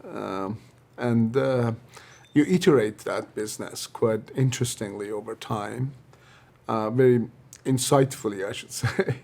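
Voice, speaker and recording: A man's voice: lowish voice, measured pace, creaky, darkish tone. A man hosting a business interview, friendly and a bit hesitant, with frequent uhs and small laughs. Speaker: interview host and investor.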